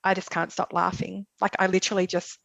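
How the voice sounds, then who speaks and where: A woman's voice: fairly low pitch, flat delivery, a little fast, creaky, fairly bright tone, dry recording, poor audio. A middle-aged woman from Melbourne with an Australian accent, telling an interviewer about her nursing career, chatty, with lots of fillers. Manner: chatty, rambling with many fillers, laughs easily. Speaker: guest, emergency nurse. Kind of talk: conversational interview.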